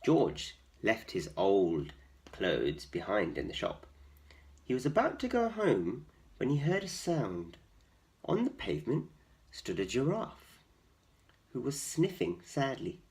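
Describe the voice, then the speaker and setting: A man tells a children's story aloud at an unhurried pace. His pitch moves widely and expressively as he narrates and adds little explanatory asides. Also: wildly swooping pitch, a little mellow.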